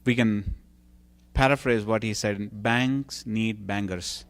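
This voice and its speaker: A man's voice: on the low side, steady tone, slow. A man moderating a church Q&A, reading out questions in a hesitant way, full of ums and uhs. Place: church hall.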